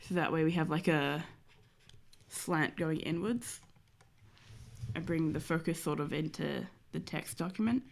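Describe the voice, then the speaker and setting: A woman's voice: low-pitched, measured pace, gravelly. A young woman streamer, nasal and stuffy from a cold, chatting casually and rambling with many fillers as she draws. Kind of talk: casual chat while drawing.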